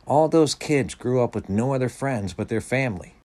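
A man's voice: medium pitch, normal speed, darkish tone, pitched above the performer's own voice, lightly acted. A man voicing a sensible, candid teenage orphan girl in a storybook, speaking in a frank, reasoning way.

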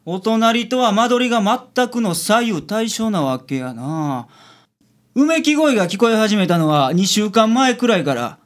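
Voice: medium pitch, very animated, unhurried. An actor playing a blunt, self-assured male detective with a Kansai accent in a radio drama. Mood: businesslike.

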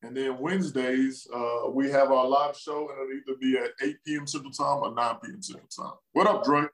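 A man's voice: medium pitch, steady tone, a bit bright, poor audio. A man whose first language is Spanish, joking around as a podcast guest and teasing his hosts. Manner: teasing, playful, quick comic asides.

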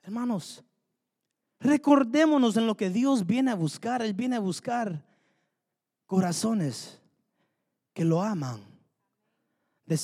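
A man's voice: high voice, expressive, slow pace, long pauses, mellow. A man preaching a sermon, fervently asking the congregation rhetorical questions. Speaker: pastor. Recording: long hall reverb.

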